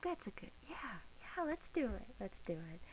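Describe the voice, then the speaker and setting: A woman playing a bored, teasing vampire guard in a soft-spoken ASMR roleplay, coaxing in hesitant, drawn-out phrases with an undertone of menace. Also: on the high side, big pitch swings, rough recording. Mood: playful, persuasive. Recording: faint background hiss.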